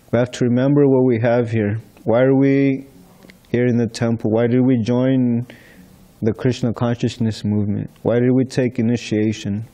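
A young man from the Philippines leads an informal class in a large temple hall, speaking into a stand microphone. He talks in a chatty, storytelling way with a mellow, relaxed tone. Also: mid-range voice. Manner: chatty, storytelling with light laughs. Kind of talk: informal scripture class talk. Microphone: stand mic in front of seated speaker.

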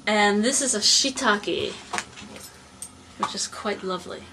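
A young woman farmer answering interview questions in a small cluttered office, calm and plain, explaining step by step. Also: mid-range voice, measured pace, background noise. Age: young adult. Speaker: mushroom and poultry farmer.

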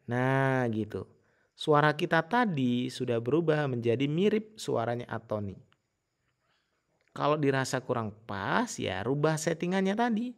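A man giving a casual, informal software tutorial in an explanatory way. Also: medium pitch, lively intonation, measured pace, creaky. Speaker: tutorial host.

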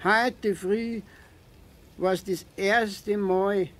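An actor playing an aggrieved old caretaker with a Bavarian accent, lamenting plaintively in a children's radio play. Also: male voice, sits high, fairly even intonation. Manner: lamenting, drawn-out.

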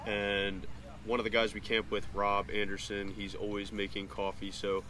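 A man's voice: fairly low pitch, completely flat delivery, moderate pace, bright, forceful tone. A man in his twenties chatting casually as a vlog host, filming himself outdoors on a camera held nearby. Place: outdoors in an open grassy campground. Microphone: action camera at arm's length or nearby. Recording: clear outdoor ambient noise.

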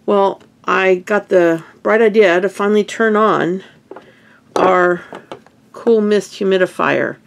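A woman's voice: medium pitch, takes it slowly, gravelly, a little hiss or hum. A woman with a stuffy, sniffly nose, chatting through a craft tutorial at her home table, rambling and correcting herself as she works. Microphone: camera over hands on table.

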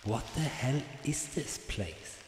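A man's voice: mid-range voice, lively intonation, slow, heavy background noise. A man playing an exasperated ordinary citizen in a comedy radio play, incredulous and reluctantly polite. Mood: bewildered. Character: exasperated ordinary man battling absurd bureaucracy. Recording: echoey hall reverb.

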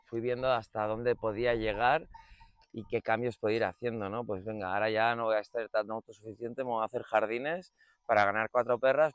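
A man's voice: mid-range voice, clean tone, dry recording. A man from Barcelona with a Catalonia Spanish accent, a shepherd talking casually about his own life in an interview. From Barcelona, Spain. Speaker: shepherd, livestock farmer. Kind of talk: casual interview answers, personal storytelling.

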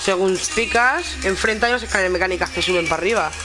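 A woman's voice: medium pitch, fast talker. A young woman with a Spain Spanish accent chatting casually and informally, with swearing, as she streams a video game. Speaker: gaming streamer. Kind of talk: casual chat while gaming. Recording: game music behind. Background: video game music.

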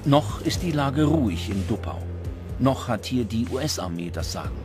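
Male documentary narrator, scripted delivery, medium pitch, music underneath. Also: sing-song, normal speed. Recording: music bed behind the voice.

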